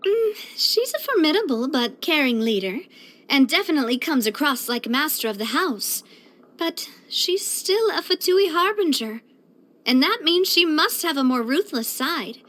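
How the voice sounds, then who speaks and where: A woman voicing a bright, earnest, sociable young female leader in a video game, chatty and expressive. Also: high voice, normal speed. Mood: cautioning, confident. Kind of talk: video game character voice lines.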